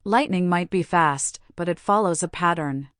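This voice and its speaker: A woman's voice: lowish voice, moderate pace, fairly bright tone, smooth, no echo, clean studio sound, close to an everyday voice. A woman playing a cunning, fierce pirate quartermaster in an audio drama, confident and teasing. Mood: smug.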